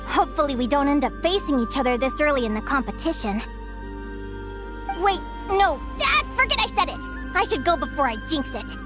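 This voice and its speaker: A woman's voice: high voice, sing-song, brisk. A woman voicing a young, curious bird fae explorer in a video game, talking in an eager, wondering way. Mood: friendly. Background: game music.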